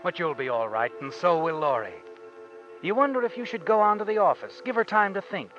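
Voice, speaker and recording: An ominous old-time radio narrator who taunts the guilty. His voice is somewhat high and full of suspense. Music plays clearly beneath the muffled broadcast. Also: expressive, fairly quick. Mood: knowing, suspenseful.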